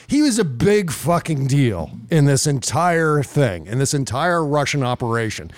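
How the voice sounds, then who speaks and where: A man's voice: mid-range voice, very animated, normal speed, a little hiss or hum. A man hosting casual political commentary in a sarcastic, exasperated and profane way. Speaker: podcast host.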